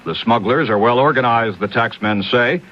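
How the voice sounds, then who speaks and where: A male newscaster reads a scripted radio bulletin in 1970s style. His voice sits at a medium pitch. The recording is dull and lo-fi, taken off old AM radio. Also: moderate pace.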